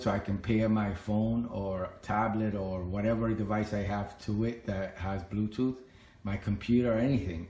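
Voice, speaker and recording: A man's voice: on the low side, a little mellow. A middle-aged man giving a casual, plainspoken walkthrough in a conversational, repetitive way. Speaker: home tech vlogger. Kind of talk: casual explanatory home walkthrough. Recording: echoey indoor room.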